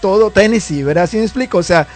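A man's voice: high voice, fast, darkish tone, soft background music. A male pastor talking on a church program, earnest and building his points with repeated words.

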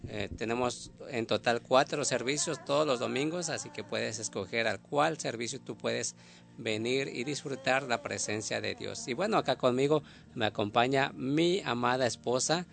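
Gravelly Mexican-born radio host man. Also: medium pitch, moderate pace, fairly bright tone. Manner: repetitive, devotional. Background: music.

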